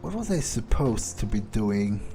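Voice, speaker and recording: A man's voice: on the low side, big pitch swings, measured pace, mellow, faint music behind. A man roleplaying a callous, amused vampire in a video game, musing in a drawling, self-satisfied way. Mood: musing, disdainful. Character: smug, amused, callous male vampire schemer. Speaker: gamer playing in character.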